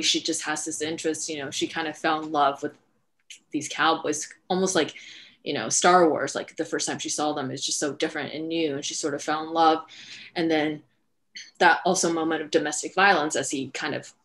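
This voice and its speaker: A woman's voice: low-pitched, a bit bright. A woman hosting an informal panel Q&A, speaking reflectively and searching for words. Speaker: writer and director hosting a panel. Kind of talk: informal Q&A talk. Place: indoor room at home, video call. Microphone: headset on a video call.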